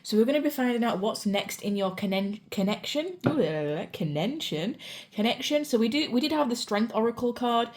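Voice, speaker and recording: A woman's voice: a little high, big pitch swings, moderate pace, darkish tone. A young woman from the UK, a tarot reader with a sore throat and a voice that cracks at times, giving a chatty, reassuring pick-a-card reading. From United Kingdom. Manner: chatty and reassuring, says "you know" and "guys" a lot.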